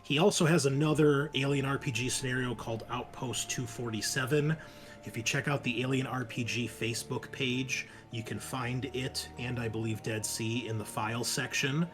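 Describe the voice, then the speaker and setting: A man's voice: medium pitch, steady tone, normal speed, over music. A man hosting a show, giving a chatty, informal introduction in a casual style. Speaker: podcast host.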